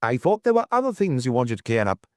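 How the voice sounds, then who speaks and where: A man voicing a brisk, guarded lawyer in an audiobook, formal, officious and insistent on the telephone. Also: medium pitch, sing-song, a little mellow, dry recording, clean studio sound, close to an everyday voice. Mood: formal, businesslike. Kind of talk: audiobook character dialogue.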